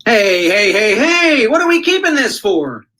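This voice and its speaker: A man plays a bossy kitchen bungler of a husband in a vintage radio comedy. He blusters in a sharp, forceful voice that swings up and down. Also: high voice, sing-song, unhurried, heavily acted. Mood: blustering. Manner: blustering, emphatic. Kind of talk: 1940s radio comedy acting.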